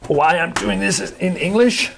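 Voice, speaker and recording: A man's voice: high voice, gravelly, a bit bright, slight background noise. Danish-accented man chatting while fixing a bike. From Denmark. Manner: halting, many fillers and restarts, chatty asides. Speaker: DIY maker vlogger. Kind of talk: casual talk while repairing a bike.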